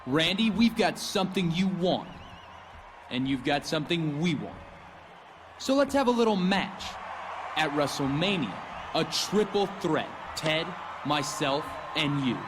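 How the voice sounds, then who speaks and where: A smug young wrestler mocks his rival. He speaks very slowly, slightly high. Background noise sits plainly under him. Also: expressive. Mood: mocking. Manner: mocking, drawn-out. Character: smug young male wrestler, mocking heel.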